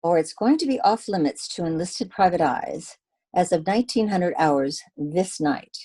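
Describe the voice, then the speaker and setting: A woman acts out a private eye's wry girlfriend for a radio play. Her voice is dark and slightly low, with sassy, dry banter. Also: expressive, moderate pace, breathy edge. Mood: wry, exasperated. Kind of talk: old-time radio detective drama acting.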